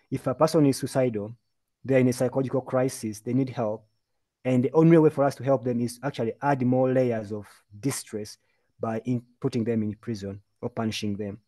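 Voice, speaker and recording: A man from Uganda speaks as a mental health presenter in an online webinar, laying out his points in an earnest, emphatic lecturing manner. His voice sits at an ordinary pitch with a mellow, darker tone, and he is heard over a video call. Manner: earnest, emphatic, lecturing with lists and repeated phrases.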